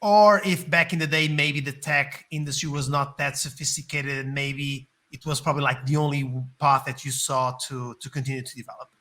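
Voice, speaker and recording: Bright-voiced male co-host. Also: medium pitch, steady tone, normal speed, hardly any pauses. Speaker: podcast co-host. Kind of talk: casual interview chat.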